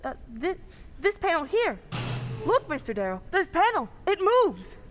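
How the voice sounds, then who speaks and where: Young woman playing a radio heroine, very high voice, bright, lively. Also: a little slow, a little hiss or hum, exaggerated voice. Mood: wary. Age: young adult. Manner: crisp, urgent pleading. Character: young woman caught by a killer, brave but frightened. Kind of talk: 1940s radio acting.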